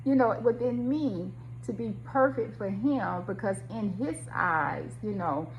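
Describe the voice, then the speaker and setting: A woman's voice: mid-range voice, expressive, a little slow, highs cut off, a little hiss or hum. A middle-aged woman telling a personal story in a reflective, conversational way, speaking directly to her listeners.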